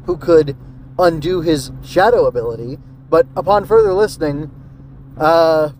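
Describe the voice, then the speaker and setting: A man's voice: fairly high pitch, moderate pace, darkish tone, slight background noise, low-quality recording. A man giving a casual solo podcast review, rambling and hesitant as he thinks aloud. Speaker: podcast host reviewing an old radio drama.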